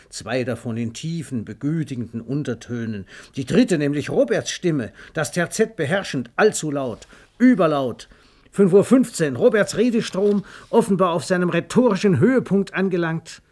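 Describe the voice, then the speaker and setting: Higher-voiced man narrating a dramatic story. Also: big pitch swings, moderate pace.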